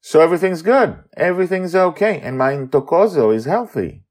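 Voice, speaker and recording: A man's voice: a little high, animated, moderate pace, darkish tone, voice pushed up. A man voicing a blind young mother, loving and anxious, asking eager questions in a raised put-on voice. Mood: joyful.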